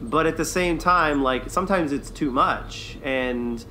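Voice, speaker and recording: A man's voice: fairly high pitch, a little slow, sharp tone, rough recording. A man co-hosting a podcast, chatting casually and enthusiastically in an agreeable way as he reviews. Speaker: podcast co-host. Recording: clear background noise.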